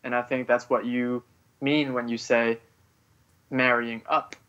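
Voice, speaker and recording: A man originally from Vietnam, a guest writer on a podcast interview, talking haltingly and leaning on "you know" between thoughts. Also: mid-range voice, little pitch movement, highs cut off.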